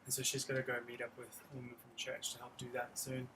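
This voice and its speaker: A man's voice: mid-range voice, monotone, fast, background noise. A young man teaching guitar on a live stream, explaining chords in a friendly, rambling way with many restarts. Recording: guitar playing behind voice.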